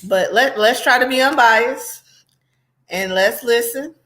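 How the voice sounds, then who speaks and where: A woman's voice: fairly high pitch, takes it slowly, bright, forceful tone. Casual, sardonic woman commentator. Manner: conversational, sardonic, addresses viewers directly. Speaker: commentary host.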